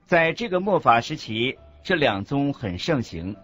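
A man reading scripted religious teaching as a narrator, in a deliberate, instructive manner. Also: mid-range voice, big pitch swings, a bit bright. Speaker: narrator of a Buddhist teaching radio program. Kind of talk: scripted didactic narration in a radio program. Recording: muffled lo-fi audio with music underneath. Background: background music.

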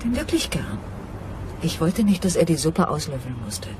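A mysterious female swindler in a radio crime play, earnest, pleading and dramatic. Her swings widely in pitch, over clear ambient noise. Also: big pitch swings, normal speed. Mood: confessing, regretful.

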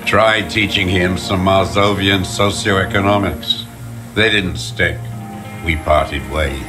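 An actor playing a bitter old communist deserter, slow and contemptuous, in a video game. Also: male voice, low-pitched, steady tone. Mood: scornful. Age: older. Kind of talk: dramatic video game voice acting. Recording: music under the voice.

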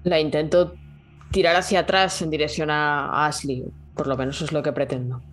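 A man's voice: on the high side, little pitch movement, normal speed, fairly bright tone. A man playing a tabletop game, speaking his character's actions in a hesitant, reflective way. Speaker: player. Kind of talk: in-character roleplay talk.

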